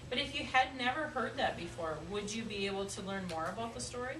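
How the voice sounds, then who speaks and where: A woman teaching young children in a classroom, speaking in an encouraging, questioning way with hesitant phrasing and pauses. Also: medium pitch, expressive. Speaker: elementary school teacher. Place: indoor classroom. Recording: roomy, noisy classroom, distant mic.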